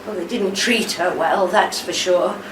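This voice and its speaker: An actress playing a steady, reassuring middle-aged wife in a radio play, gentle and consoling. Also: female voice, on the low side, measured pace. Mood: tender, firm. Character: steady, tender, reassuring wife of a troubled veteran. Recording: roomy, distant mic, faint background noise.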